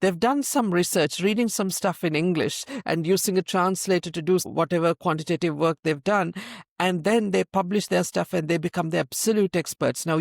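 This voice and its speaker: A man's voice: fairly high pitch, creaky, no echo, clean studio sound. A man from Sri Lanka hosting an interview, speaking emphatically and repeating points for stress. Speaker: host. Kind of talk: conversational interview.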